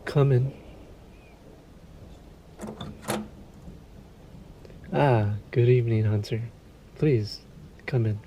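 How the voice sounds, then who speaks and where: Man playing a suave, unhurried, seductive vampire. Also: mid-range voice, lo-fi sound, a little hiss or hum, close to an everyday voice. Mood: courtly, welcoming. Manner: courtly, teasing, drawn-out. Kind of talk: ASMR audio roleplay.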